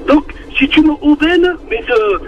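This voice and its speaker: A man with a southern French accent calling into a radio show, speaking earnestly with many halting restarts over a muffled phone line. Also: very high voice, lively intonation, background noise. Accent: southern French, Marseille-like. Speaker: caller. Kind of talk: phone-in opinion on air. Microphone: telephone.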